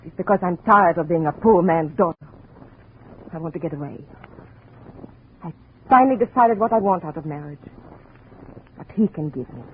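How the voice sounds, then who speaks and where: A woman's voice: on the low side, expressive, moderate pace, pauses often, natural voice. An actress as a proud young woman in an old radio mystery, tired of poverty. She speaks in formal, curt, indignant phrases on a muffled recording with faint noise. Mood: resolute, cold. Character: haughty, ambitious young daughter, tired of poverty. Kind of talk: 1950s radio drama acting.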